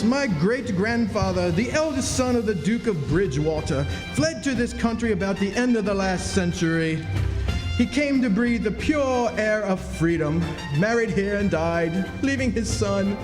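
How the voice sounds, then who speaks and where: Radio-play swindler: bogus duke, haughty and mournful, high-pitched and melodramatic, in a reverberant hall with music. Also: male voice. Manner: melodramatic, mournful, grandly put-upon. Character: self-pitying swindler posing as a wronged, haughty duke. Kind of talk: old-time radio drama acting, performed live. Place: stage in a reverberant historic club hall. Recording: echoey hall stage performance with music.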